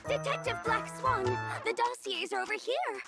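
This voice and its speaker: A woman's voice: very high-pitched, animated, moderate pace. A woman voicing an eager young assistant in a video game, explaining things in a deferential way. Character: eager young female assistant presenting case dossiers. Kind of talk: video game character acting. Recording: music behind voice.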